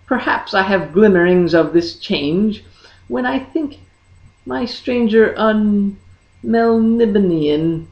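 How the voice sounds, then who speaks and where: A woman voicing a gentle, thoughtful young noblewoman sorceress in an audiobook, musing in halting, drawn-out phrases. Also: medium pitch, expressive. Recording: dull lo-fi, echoey room.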